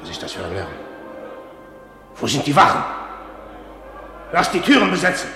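A man's voice: on the high side, wildly swooping pitch, normal speed, gravelly, bright, forceful tone, faint music behind, full character voice. An actor in a German classical radio drama playing a troubled, scheming middle-aged king with a declamatory, weighty delivery. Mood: alarmed. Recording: echoey hall with long reverb.

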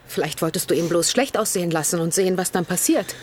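An actress playing a young writer's wife in a radio drama, earnest, searching and questioning. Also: female voice, on the low side, fast, a little mellow, slight background noise, lightly acted.

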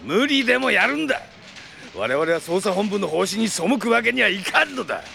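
Gravelly, stern police chief. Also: male voice, high voice, sing-song, brisk, sharp tone, slight background noise, exaggerated voice. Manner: gruff, authoritative. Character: stern, pragmatic male police section chief. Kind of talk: Japanese audio drama acting.

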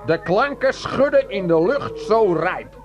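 An actor playing a folksy, suspicious farmer in a radio play, grumbling and quaintly formal. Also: male voice, sits high, lively intonation, takes it slowly, soft background music. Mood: grumbling, sly. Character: folksy, suspicious Dutch farmer, stubborn and wary of city folk.

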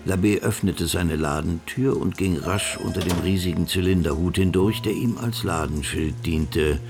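Man, scripted drama narration, very low pitch, gravelly, clear music underneath. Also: normal speed.

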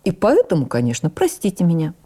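A man's voice: fairly high pitch, wildly swooping pitch, brisk, warm tone, husky, exaggerated voice. A woman voicing an eccentric, impulsive male composer with a childlike streak and an emphatic, capricious manner, in a dramatised radio memoir. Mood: playful, cheerful.

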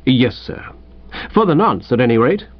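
A man's voice: medium pitch, big pitch swings, no echo, muffled recording. Man playing an unflappable, formal English valet. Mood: calm, deferential. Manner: measured, formal, deferential.

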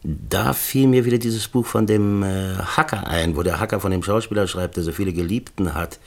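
An actor playing a distracted, fussy middle-aged screenwriter in a radio drama, musing haltingly and repeating himself. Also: male voice, low voice, gravelly. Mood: searching, rambling. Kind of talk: naturalistic radio drama acting.

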